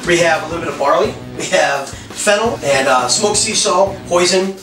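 A man's voice: on the high side, moderate pace. A man in his thirties hosting a cooking show, teasing his guest while giving casual instructions in a small home kitchen. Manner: teasing, joking. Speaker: chef and host. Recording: echoey room with music underneath.

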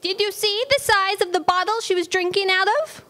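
Shrill, panicky fact checker. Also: female voice, fairly even intonation, a little fast, bright, forceful tone. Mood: fretful, exasperated. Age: young adult. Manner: frantic, wailing, exaggerated. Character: panicky, squeamish, whiny female fact checker. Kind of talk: staged comic audio drama. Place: theatre stage. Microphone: microphone on a stand.

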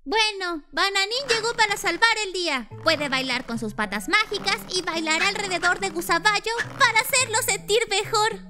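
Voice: very high-pitched, expressive, normal speed, hardly any pauses, bright, forceful tone, faint music behind, exaggerated voice. A performer voicing a giggly, squeamish little imp child in a cartoon fan dub, childlike and bubbly, with a Latin American Spanish accent. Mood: playful.

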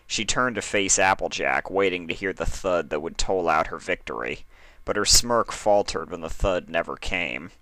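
Deep, bright-voiced man narrating a story. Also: moderate pace. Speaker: fan fiction reader, narrator.

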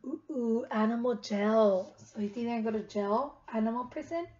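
A woman's voice: fairly high pitch, normal speed, a little mellow. A woman in her thirties reading aloud to young children as their teacher, repeating questions to them on a webcam at home. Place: living room at home, indoors. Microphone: webcam at about arm's length. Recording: dull webcam sound with clear noise.

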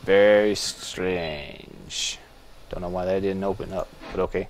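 A man with a very low voice talks through a video game in an easygoing, musing way, often pausing to restart a thought. A faint hiss sits behind his home desk microphone. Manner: relaxed, musing, thinking aloud with small restarts. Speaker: gameplay video host. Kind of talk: casual gameplay commentary.

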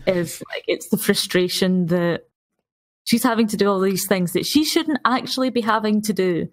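A woman's voice: mid-range voice, moderate pace. A woman in casual, informal chat as a player on a gaming podcast, often acting out imagined quotes.

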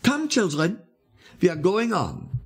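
Narrator as stern male tour leader, storybook reading, patronizing, grim, widely varied pitch, husky. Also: big pitch swings, takes it slowly, heavily acted. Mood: quiet, scolding. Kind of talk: dramatised literary reading.

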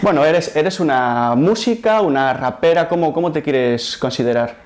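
A man in his thirties interviewing a guest in a TV studio, asking questions in a halting way with repeated words, on a lapel mic. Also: fairly high pitch, lively intonation. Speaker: journalist and interviewer. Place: TV studio set.

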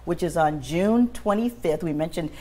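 A woman's voice: lowish voice, lively intonation, moderate pace, warm tone, a little hiss or hum. A woman anchoring a local morning news show, introducing and interviewing a guest in a polished, upbeat presenter manner on a lapel mic. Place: TV news studio.

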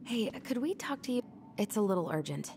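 A woman's voice: mid-range voice, big pitch swings, fairly quick, darkish tone, slight background noise. An actress playing an earnest, conciliatory young small-town woman with an American accent in a video game, speaking conversationally. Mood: calm, cautious. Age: young adult. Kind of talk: video game dialogue acting.

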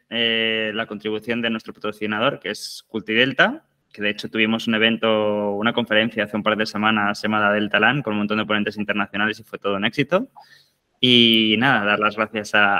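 Guy hosting a webinar on a webcam, lowish voice but super bright, kinda hesitant. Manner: informal, hesitant with fillers. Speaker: webinar host. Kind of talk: introduction on a video call. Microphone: video call webcam.

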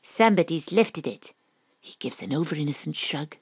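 A woman's voice: low-pitched, expressive, moderate pace, husky, dry recording, exaggerated voice. A woman playing a determined, wry Welsh private detective, acting and narrating in a noir audio drama. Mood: insistent.

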